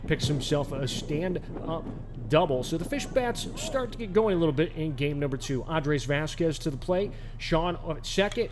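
A man hosting postgame baseball coverage, narrating highlights with energy and interviewing players on the field with a handheld mic. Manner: energetic, builds to shouts on big plays. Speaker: sports network host and play-by-play narrator. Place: outdoors on a lit baseball field at night. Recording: outdoor field noise behind.

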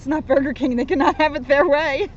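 A woman's voice: high-pitched, fast. A young woman, a police officer, chiming in outdoors with casual, teasing commentary beside a story reader. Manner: playful, teasing. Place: outdoors on an open grassy lawn. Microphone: camera a short distance away. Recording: noisy, muffled outdoor recording.

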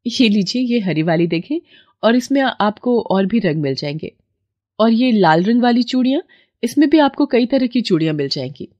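A woman's voice: a little high, animated, normal speed, darkish tone, no echo, highs cut off, pitched above the performer's own voice. A woman doing eager village bangle shoppers. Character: chatty village women buying bangles.

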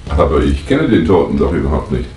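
An actor playing a courteous, formal gentleman motorist in a 1960s German radio crime drama. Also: a bass voice, big pitch swings, a little fast, a little hiss or hum. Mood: protesting. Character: courteous gentleman motorist caught up in a murder case.

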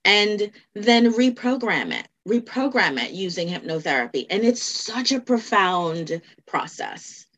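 A woman's voice: mid-range voice, fairly bright tone. Emphatic middle-aged woman explaining. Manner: emphatic, repeats key phrases. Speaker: podcast guest, hypnotherapist and life coach. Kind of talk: explanatory conversational interview. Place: indoor room at home, on a video call. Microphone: video call.

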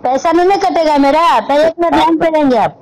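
A woman's voice: high voice, fast talker, darkish tone. A woman on a recorded phone call, replying briefly and hesitantly, stalling and echoing back what she is told. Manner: stalling, echoes back instructions. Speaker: person on the phone. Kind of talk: hesitant, short phone replies.

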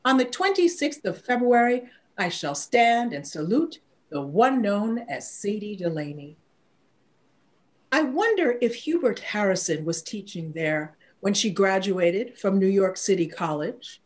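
An older woman reciting poetry in a measured, declamatory manner. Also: medium pitch, sing-song. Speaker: poetry reader.

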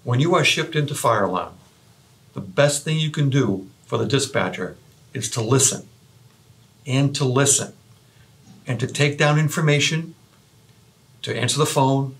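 A man's voice: mid-range voice, moderate pace, pauses often, fairly bright tone. A man in his fifties presenting a training video, explaining directly to trainees. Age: 50s. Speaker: fire lieutenant, training presenter. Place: indoor office room, facing camera. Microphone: lapel.

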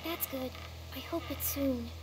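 A woman's voice: sits high, measured pace, background noise. A woman voicing a sweet, trusting young girl in a video game, earnest and childlike, with worry and hope underneath. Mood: wistful, hopeful.

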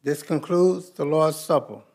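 A man's voice: a little high, steady tone, a little mellow. Man leading prayer in a church. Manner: slow, measured, reverent prayer cadence. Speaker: church leader at the Lord's Supper. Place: large church sanctuary.

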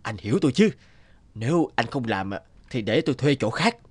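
Male actor as philandering office boss, middle-aged, smug and distrustful, animated series. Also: mid-range voice, normal speed, slight background noise, close to an everyday voice. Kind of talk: cartoon dialogue.

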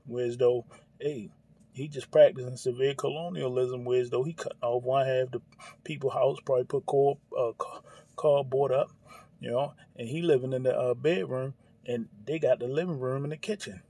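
A man's voice: medium pitch, fairly even intonation, moderate pace, warm tone, breathy edge. An American man giving casual, off-the-cuff religious commentary in an informal, preachy manner. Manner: informal, preachy, peppered with "you know" and "hey". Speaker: commentator reacting to a news clip.

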